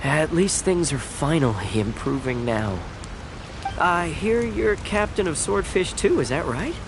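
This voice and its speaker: A man's voice: on the high side, lively intonation, measured pace, noisy background. Man playing a humble, folksy island fisherman. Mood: grateful. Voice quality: folksy and rambling, with hesitant fillers. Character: grateful island fisherman, humble and warm-hearted. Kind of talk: video game voice acting. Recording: game music underneath.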